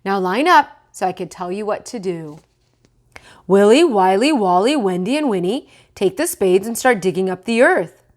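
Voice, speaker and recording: A woman's voice: medium pitch, animated, moderate pace, lightly acted. Lady reading as a daddy rabbit in a kids' story, firm and practical, lots of expression. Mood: instructing. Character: father rabbit, practical, firm head of family.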